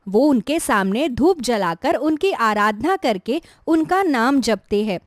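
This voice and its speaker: A woman's voice: on the high side, expressive, measured pace, no room sound, studio quality. A woman reading a scripted devotional tale as a storyteller for a cartoon. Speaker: storyteller, narrator.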